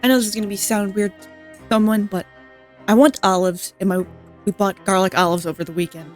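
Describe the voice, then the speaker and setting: A woman's voice: mid-range voice, fairly even intonation, fairly quick, darkish tone, soft background music. Woman giving wry, casual gameplay commentary. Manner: wry, rambling asides. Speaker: gaming streamer.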